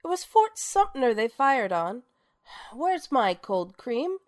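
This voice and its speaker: An actress playing a famous, sharp-tongued theater star in a radio drama, with a grand, theatrical, sardonic manner. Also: female voice, sits high, sing-song, takes it slowly, no echo, studio quality, full character voice. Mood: caustic, wisecracking.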